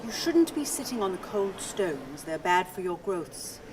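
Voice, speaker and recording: Distant, detached, nagging wife. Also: female voice, fairly low pitch, big pitch swings, a little slow. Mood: detached concern. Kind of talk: restrained radio play dialogue. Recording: distant mic, noisy ambient sound.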